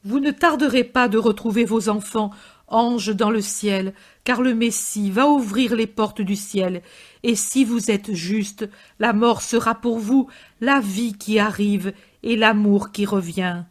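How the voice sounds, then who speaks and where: A woman voicing a gentle male preacher who speaks slowly and solemnly to a crowd. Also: medium pitch, fairly even intonation. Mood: consoling.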